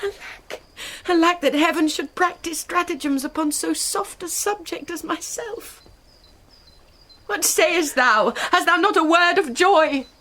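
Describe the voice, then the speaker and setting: An actress playing a desperate young noble daughter in a Shakespearean radio drama, with a British accent and imploring, emotional verse delivery. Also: female voice, very high voice, a little slow, a bit bright. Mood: anguished.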